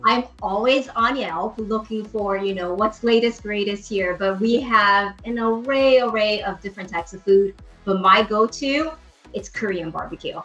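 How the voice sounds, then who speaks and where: A woman's voice: mid-range voice, normal speed, highs cut off. Middle-aged Brazilian-born woman, Portuguese first language, eager interview guest, faint music behind. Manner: warm, enthusiastic, repeats for emphasis. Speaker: podcast guest, hotel industry professional. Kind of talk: casual interview chat.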